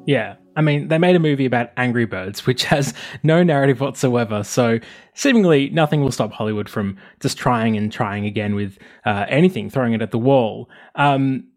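A man's voice: medium pitch, moderate pace. A man hosting an interview podcast, moving between scripted narration and conversational questions. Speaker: host interviewer.

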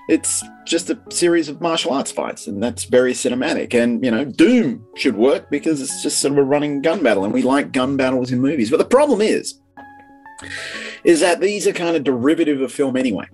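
A man's voice: medium pitch, darkish tone, husky. A man with a mid-range voice talks as a guest critic over music. He explains his opinions informally, in a casual interview style.

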